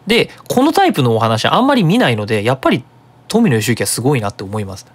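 A web radio host giving a casual, explanatory monologue in an animated manner. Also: mid-range voice, fast talker, slightly rough.

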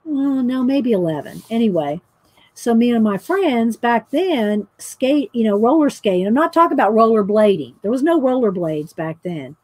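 Middle-aged woman, bright and higher voice, loud, giggly storyteller painting at home, dry sound. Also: expressive, normal speed, no room sound. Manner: chatty, laughs often, talks loud. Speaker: painting vlogger. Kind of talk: casual storytelling while painting. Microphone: overhead camera mic, speaker off screen.

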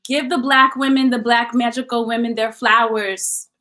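Bright, higher-pitched American woman, earnest. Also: fairly even intonation, normal speed. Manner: earnest, restates phrases for emphasis. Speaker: guest artist and writer. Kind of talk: reflective interview answers. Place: indoor room at home, video call. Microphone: video call mic.

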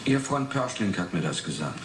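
Low, needling interrogator in echoey room. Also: male voice, very animated, normal speed. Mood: pressing. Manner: pointed, probing. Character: persistent, needling male official interrogator. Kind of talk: 1980s German radio drama acting. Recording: echoey room with clear background noise.

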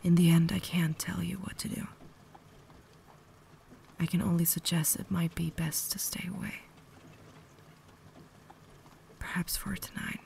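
Casting: woman to play refined, tormented vampire lady for ASMR roleplay; breathy whisper, gravelly fry, caring and formal. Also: on the low side, level tone, a little mellow. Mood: gentle, resigned. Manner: formal, measured, earnest diction.

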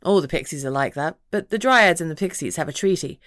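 Low-voiced woman narrating, very dry sound. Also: animated, brisk, fairly bright tone, no echo. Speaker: audiobook narrator. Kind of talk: first-person scripted fantasy narration.

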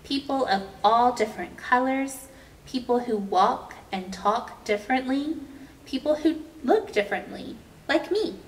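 A young adult woman reading a children's picture book aloud as a storytime host, with deliberate, expressive storytelling for young children. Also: high-pitched. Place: indoor room with painted mural. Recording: roomy, distant mic, faint noise.

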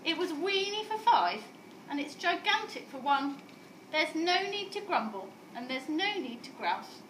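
Woman acting a high, dramatic little old lady. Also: big pitch swings, a bit bright, full character voice. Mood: relieved, delighted. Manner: dramatic, wailing, drawn-out pleas. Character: little old lady, exasperated, grumbling, pleading storybook character. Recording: roomy, distant mic, background noise.

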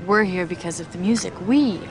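A woman's voice: lowish voice, fairly quick, close to an everyday voice. An actress in a film clip playing an earnest young woman who defends her place among rock bands, with music under her lines. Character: young woman insisting she's no groupie.

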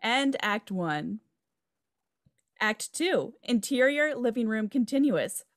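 A woman's voice: a little high, animated, takes it slowly, no echo, clean studio sound. A woman reading out stage directions as scripted narration on a video call. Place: indoor room on a video call.

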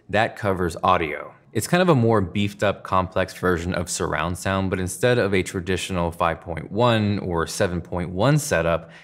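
A man in his thirties with an American accent, hosting a scripted tech explainer. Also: deep voice. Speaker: tech reviewer and video host. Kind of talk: scripted explainer narration to camera.

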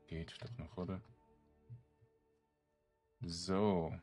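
A man giving casual gameplay commentary, musing aloud to himself in short, halting remarks. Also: low voice, little pitch movement, darkish tone, soft background music. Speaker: gamer, let's play host.

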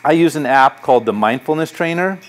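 A man's voice: fairly high pitch, lively intonation, a little fast. A middle-aged man, a psychology professor, giving a public lecture in a university lecture hall with a conversational, anecdotal manner and lots of gesturing. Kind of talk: public lecture with audience questions.

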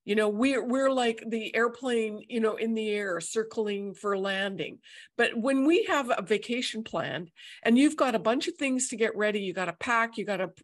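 A woman's voice: fairly high pitch, normal speed. A middle-aged woman, speaking as a host and coach in conversation on a video call. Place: indoor room, video call.

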